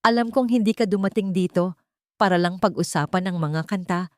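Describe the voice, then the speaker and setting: A woman voicing a cold, wealthy matriarch who speaks softly with menacing, controlled authority in an audio drama. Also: on the low side, a little fast, no echo, studio quality, lightly acted. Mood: cold, threatening. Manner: soft-spoken, controlled, commanding.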